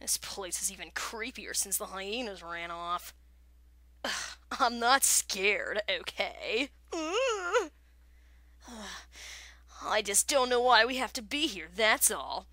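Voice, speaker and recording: A woman voicing a jealous, over-excitable young male lion in a cartoon, whining and frantic. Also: mid-range voice, slow, no echo, exaggerated voice. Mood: sulky, whining.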